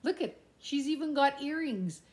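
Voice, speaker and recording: A middle-aged woman reading a children's picture book aloud in an expressive, playful, sing-song way, with asides about the pictures. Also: high voice, moderate pace. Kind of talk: animated children's storybook read-aloud with asides. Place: indoors in a room at home. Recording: echoey room.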